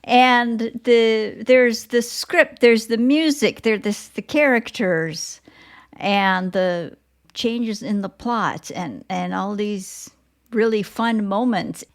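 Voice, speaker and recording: A woman hosting a conversational podcast interview, speaking haltingly in short phrases as she searches for words. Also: mid-range voice. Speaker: podcast host.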